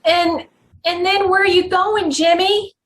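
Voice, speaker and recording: A woman acting a devoted young man's sweetheart in a radio play, with a very high voice and slow delivery. She is earnest and sorrowful, faltering as she speaks. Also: steady tone. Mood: anguished, loving. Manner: halting, earnest, repeats herself. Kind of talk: old time radio drama acting.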